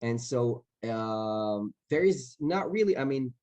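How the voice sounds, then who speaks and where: A man speaks in a middle-pitched voice, working through his answer slowly. He searches for words and restarts often. His is Hebrew. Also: expressive, a little mellow. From Israel. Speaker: actor on a festival panel.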